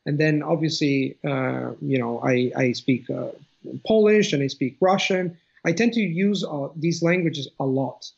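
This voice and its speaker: A man's voice: on the high side, measured pace, gravelly, a little mellow, husky. A man in his thirties from Italy with an Italian accent, talking as an interview guest over a video call at home, thoughtful and often restarting his phrases. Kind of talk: casual conversation. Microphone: video call webcam. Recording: dull lo-fi video call audio.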